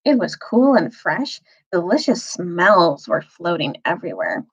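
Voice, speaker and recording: A woman reading a children's novel aloud as a storyteller, phrasing carefully with dramatic pauses and emphasis. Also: on the low side, big pitch swings, husky, poor audio.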